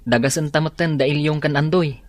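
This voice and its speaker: Brisk, highish farmhand buddy played by a man. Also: dry recording, natural voice. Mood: inviting. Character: male farmhand friend, brisk and nagging.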